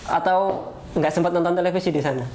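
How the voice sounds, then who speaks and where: A man's voice: high voice, fairly even intonation, fast, darkish tone, rough recording. A man with an Indonesian accent hosting a podcast, asking casual questions informally and rephrasing them as he goes. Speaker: podcast host. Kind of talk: casual interviewing. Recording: background noise.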